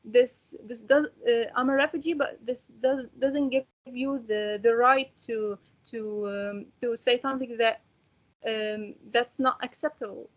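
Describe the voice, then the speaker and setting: A woman's voice: fairly high pitch, fairly even intonation, unhurried. Hesitant young Syrian woman. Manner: hesitant, many fillers and restarts. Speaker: refugee student panelist. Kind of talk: roundtable discussion.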